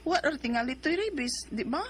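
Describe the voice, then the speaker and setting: An actress playing a young mother in a radio drama, who also narrates it, earnest and quick to defend herself. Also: high voice, lively intonation, a little hiss or hum. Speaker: narrator and lead.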